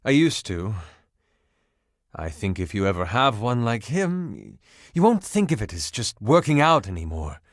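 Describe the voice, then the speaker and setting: An actor playing a flamboyant, excitable washing machine in a video game, grandiose, romantic and melodramatic in delivery. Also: male voice, lowish voice, moderate pace, no room sound, clean studio sound. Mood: gushing. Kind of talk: theatrical video game voice acting.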